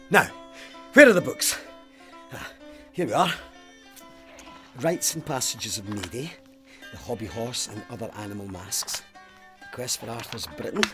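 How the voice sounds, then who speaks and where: An actor playing a pious, stubborn, haunted police sergeant in an audio drama, speaking in an intense, formal, demanding way. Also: male voice, mid-range voice. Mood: determined. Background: music.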